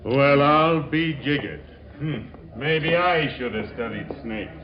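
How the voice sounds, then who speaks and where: A man's voice: medium pitch, animated, takes it slowly. An actor playing a jovial middle-aged American father, hearty and folksy, in 1940s radio comedy style. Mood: blustering, hearty. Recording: muffled, noisy 1940s radio recording.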